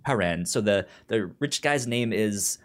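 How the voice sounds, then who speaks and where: A man's voice: fairly low pitch, animated, takes it slowly. Stuttering man, casual podcast host. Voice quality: frequent stutter repeating first sounds of words. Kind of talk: casual TV recap chat.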